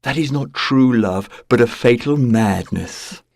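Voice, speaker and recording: A man's voice: mid-range voice, animated, unhurried, creaky, darkish tone, dry recording. Man playing an earnest, faithful young lover, dry sound. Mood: solemn, earnest. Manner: earnest, solemn, dramatic. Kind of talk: audio drama acting.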